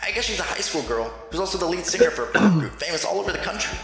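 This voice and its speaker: Echoey, creaky teenage boy narrator played by a man. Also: high-pitched, animated, rapid-fire. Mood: informative. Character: ordinary teenage high school boy, earnest narrator, awed and unsure. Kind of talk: scripted narration in a visual novel fan dub. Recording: very echoey, long reverb, music behind.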